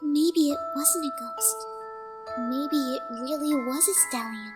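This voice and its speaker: Woman as a high-voiced, earnest young pony daughter. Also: measured pace, loud music behind the voice. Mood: wondering. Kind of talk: audio drama acting.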